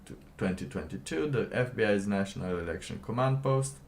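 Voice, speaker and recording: A man giving casual livestream commentary and reading documents aloud, halting and rambling, often pausing mid-sentence. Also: on the low side, a little hiss or hum. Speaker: livestream host.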